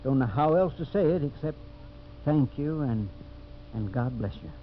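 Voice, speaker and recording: Man acting a dignified older father, medium pitch, devout, solemn, old radio drama, faint noise. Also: expressive, moderate pace, close to an everyday voice. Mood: grateful. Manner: solemn, sincere, plain-spoken. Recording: noisy, muffled vintage radio recording.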